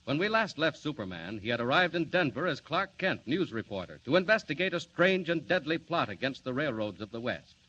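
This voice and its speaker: Man, 1940s radio serial announcer, dramatic, bright ringing tone, dull lo-fi recording. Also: on the high side, big pitch swings, fairly quick, few pauses, slight background noise. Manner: dramatic, emphatic delivery.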